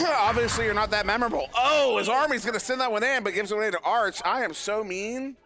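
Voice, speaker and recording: Male esports caster, hoarse from a long event, self-deprecating, bright tone. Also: sits high, a little fast, soft background music. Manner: joking, self-deprecating, rambling with fillers. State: losing his voice. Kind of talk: live play-by-play commentary. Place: live event venue.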